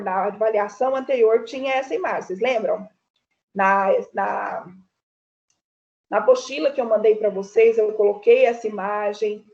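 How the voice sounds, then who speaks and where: A Brazilian woman with a fairly high voice teaches an art class online, talking through paintings in a chatty, easygoing way. She keeps checking in with her students as she goes. The audio is dull and lo-fi, like a video call. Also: darkish tone. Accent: Brazilian Portuguese.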